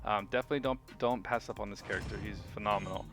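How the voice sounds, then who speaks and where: A man's voice: lowish voice, steady tone, rapid-fire, music in the background. A man giving casual, unscripted video game commentary, rambling and thinking aloud as host of the review.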